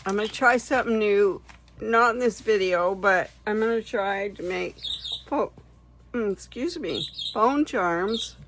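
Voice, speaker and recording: A hoarse woman who is losing her voice, chatting casually and unhurriedly as she makes bead crafts on a vlog. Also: mid-range voice, unhurried, background noise. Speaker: craft vlogger.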